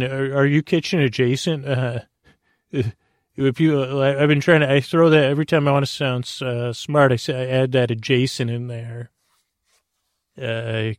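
A man hosting a bedtime podcast, rambling in a halting, meandering way with a creaky, mumbly voice and self-deprecating asides. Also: medium pitch, dry recording, clean studio sound. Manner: meandering, halting, stumbling over words. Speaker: podcast host and storyteller. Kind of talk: rambling bedtime monologue to help listeners sleep.

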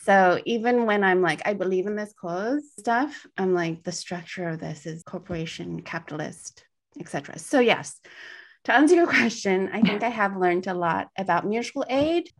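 A woman's voice: medium pitch, animated, moderate pace. A woman co-hosting a podcast in casual conversation, with a soothing voice, talking in meandering, self-correcting sentences full of fillers. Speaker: podcast co-host.

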